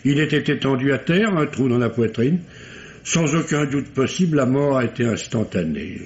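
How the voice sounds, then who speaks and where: An actor playing a solemn, wordy male doctor in a vintage radio mystery, with pompous, deliberate, literary delivery. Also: mid-range voice, animated, darkish tone, close to an everyday voice. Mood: grave, precise. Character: formal, verbose, solemn male country doctor. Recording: dull, noisy old radio recording.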